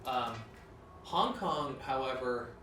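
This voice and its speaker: Man in a relaxed corporate briefing, filler-heavy; distant, roomy recording. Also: mid-range voice, slow. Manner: casual, many "um" and "you know" fillers. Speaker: company director. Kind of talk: corporate training talk. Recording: roomy, from off-mic, with clear noise.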